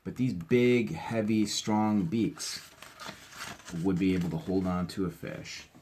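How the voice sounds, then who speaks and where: A man's voice: deep voice, takes it slowly, darkish tone, a little hiss or hum. A man reading a picture book aloud, adding casual, enthusiastic explanations and asking the listener questions. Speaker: teacher reading aloud to children.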